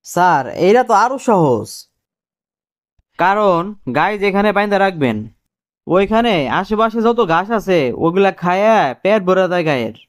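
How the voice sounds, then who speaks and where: A man voicing a cheeky, quick-witted cartoon job candidate who explains things deferentially in colloquial Dhaka-accented Bangladeshi Bengali. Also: sits high, lively intonation. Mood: confidently explaining. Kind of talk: comic cartoon dialogue.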